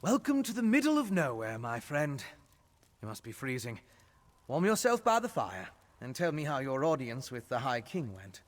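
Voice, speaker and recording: A man's voice: medium pitch, wildly swooping pitch, moderate pace. A man playing a genial, curious scholar-adventurer in a fantasy video game, theatrical and courteous in delivery. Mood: warm welcome. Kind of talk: scripted video game dialogue.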